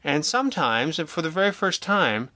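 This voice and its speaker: Bright-voiced man chatting as an interview guest. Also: on the high side, normal speed, no room sound. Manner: animated storyteller, self-deprecating joking, acts out quoted remarks. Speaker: guest, audio drama writer and producer. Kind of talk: casual interview chat.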